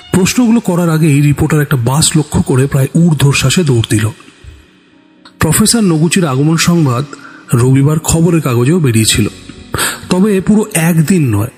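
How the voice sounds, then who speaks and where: A man's voice: fairly high pitch, lively intonation, moderate pace, darkish tone. A man narrating a scripted suspense story in a dramatic storytelling style. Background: background music.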